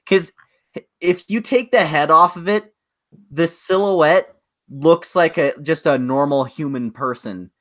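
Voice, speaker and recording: A man's voice: fairly high pitch, slow pace. A man co-hosting a podcast, chatting casually about movies in a rambling, opinionated way. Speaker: podcast co-host.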